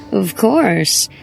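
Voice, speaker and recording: An actress playing a silky, scheming female crime boss in an audio drama, coy and smug with measured delivery. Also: mid-range voice, vocal fry, exaggerated voice.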